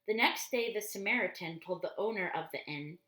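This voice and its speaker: A woman reading a children's story aloud expressively, deliberately, explaining things in simple words. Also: mid-range voice, lively intonation, sharp tone. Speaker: storybook reader for church children. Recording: roomy, echoey indoor sound.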